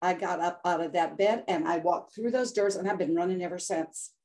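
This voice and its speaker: A woman's voice: on the low side, a little fast, a little mellow. An older American woman, a health coach guest, telling a personal story with dramatic flair and acted-out quotes. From United States. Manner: dramatic, acts out quotes with voice shifts. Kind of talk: emotional storytelling in interview.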